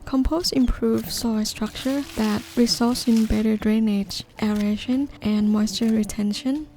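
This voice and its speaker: Woman, mellow tone, fairly high pitch, measured explanatory narration, faint background music. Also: steady tone. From northeastern Thailand. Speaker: gardening vlogger. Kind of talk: calm scripted voice-over narration.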